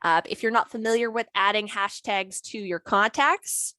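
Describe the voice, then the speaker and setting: A woman trainer talking through a software tutorial in a casual, conversational way, her voice a little worn today. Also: mid-range voice, moderate pace, no room sound, clean studio sound. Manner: conversational, lots of "maybe" and "you know". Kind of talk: screen-share software tutorial.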